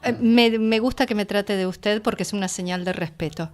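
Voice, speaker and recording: A woman's voice: mid-range voice, fairly quick, over music. Argentine woman co-hosting casual radio chat. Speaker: radio co-host. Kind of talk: lively casual studio chat. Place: radio studio.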